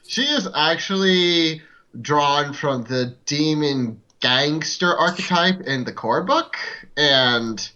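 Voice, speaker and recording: A woman playing a tabletop game, chatting casually and joking as she haltingly describes her character. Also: deep voice, expressive, bright, forceful tone, muffled recording.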